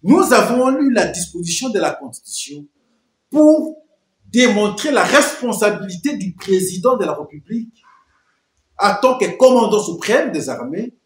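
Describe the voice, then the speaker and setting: A man's voice: high voice, sing-song, normal speed, reverberant. A man giving emphatic political commentary, full of rhetorical questions and repeated phrases.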